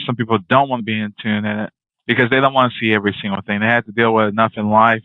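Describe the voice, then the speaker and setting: A man's voice: mid-range voice, clear, resonant tone, no room sound. A man hosting an interview, asking long, winding questions in a casual way. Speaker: interview host. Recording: dry, tinny phone-like line.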